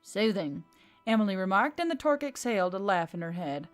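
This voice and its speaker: A woman's voice: medium pitch, expressive, quick pace, soft background music. A woman reading scripted fiction as an audiobook narrator.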